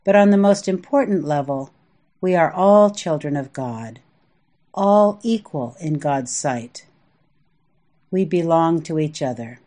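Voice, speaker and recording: Low-pitched American woman, measured reading. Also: animated, mellow. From US Midwest (Indiana and Iowa). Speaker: author reading own essay. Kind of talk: reflective scripted narration.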